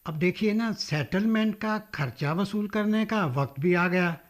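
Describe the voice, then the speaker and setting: A scheming, fawning middleman in a radio play. His voice sits very high for a man and he takes his time, coaxing with deferential persuasion. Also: a little slow. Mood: fawning, persuasive. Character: wily, obsequious middleman coaxing landlord and buyer. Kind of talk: Hindi radio drama acting.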